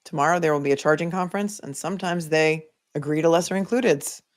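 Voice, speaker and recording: A woman's voice: low voice, quick pace. Woman, Boston accent, livestream host, casual legal commentary, conversational, opinionated, thinking aloud. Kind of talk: casual chat, answering live viewer comments.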